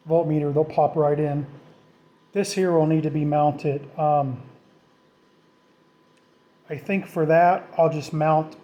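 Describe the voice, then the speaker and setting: A man's voice: fairly high pitch, warm tone. A man narrating a do-it-yourself project in a casual, off-the-cuff way, thinking aloud informally as he goes. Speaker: DIY vlogger.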